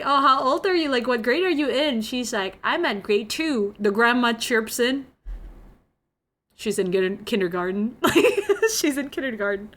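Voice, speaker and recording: A woman's voice: medium pitch, a bit bright. A young woman from Indonesia, speaking English as a second language, chatting casually with her viewers and telling a travel story full of "like" and giggles. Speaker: streamer.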